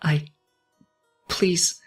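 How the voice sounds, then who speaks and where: A man's voice: extremely slow, far from the mic, soft background music. A man voicing a young female pony socialite in a fanfiction reading, hesitant and anguished behind a poised front. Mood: panicked pleading.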